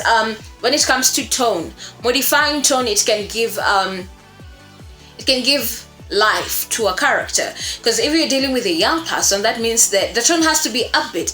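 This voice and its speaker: A woman's voice: mid-range voice, fairly bright tone. A woman giving an informal tutorial as a host, explaining step by step with rhetorical questions and little check-ins. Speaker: voice acting tutor, video host. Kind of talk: informal tutorial talk to camera. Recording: echoey room, music underneath.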